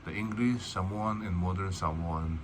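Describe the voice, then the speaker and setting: A man, a Tagalog speaker, calmly going through vocabulary word by word and spelling some of them out. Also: deep voice, background noise. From Philippines. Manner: unhurried, word-by-word, spells words out. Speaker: language teacher. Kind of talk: casual vocabulary lesson.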